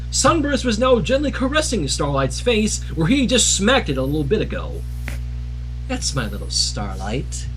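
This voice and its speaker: A man reads a fan story aloud as a livestream narrator, his pitch swinging very widely. He drops casual asides into the narration, and music plays behind him. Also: a bit bright, low-quality recording. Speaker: fan fiction reader on livestream.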